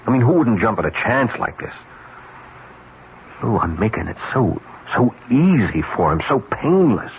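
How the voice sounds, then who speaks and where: Smug scheming businessman, sardonic, animated intonation, vintage radio drama, muffled noisy recording. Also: medium pitch, normal speed. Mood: scornful, insistent. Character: cocky, smug schemer of a businessman, scornful and impatient.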